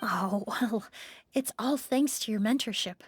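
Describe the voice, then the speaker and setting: A woman's voice: medium pitch, measured pace, a little hiss or hum, lightly acted. A woman playing a polite, composed young perfumer in a video game, speaking graciously. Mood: respectful. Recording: game music playing behind.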